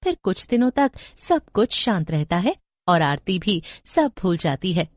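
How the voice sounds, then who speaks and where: A woman's voice: fairly low pitch, very animated, measured pace, dry recording, clean studio sound. A woman narrating a scripted horror story as a storyteller.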